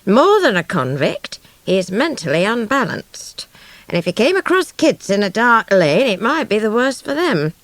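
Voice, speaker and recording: Woman acting a male police sergeant, grave, deliberate, official warnings, audiobook, close dry sound. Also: mid-range voice, very animated, dry recording, natural voice.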